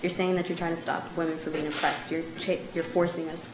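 A woman's voice: deep voice, flat delivery, fast talker. A young woman on a discussion panel, explaining earnestly with loose fillers. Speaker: panel guest. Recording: echoey room with background noise.

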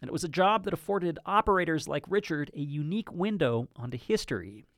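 Male host, a bit higher voice, dry close mic, measured script read. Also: lively intonation, fairly bright tone, dry recording. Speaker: host, podcast narrator. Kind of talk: scripted narration.

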